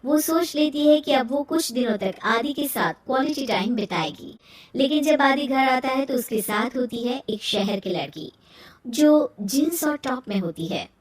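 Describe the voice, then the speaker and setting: A woman narrates a scripted moral story in a high voice. The sound is roomy and echoing, set back from the microphone. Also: animated, a little slow. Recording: roomy echo, distant from mic.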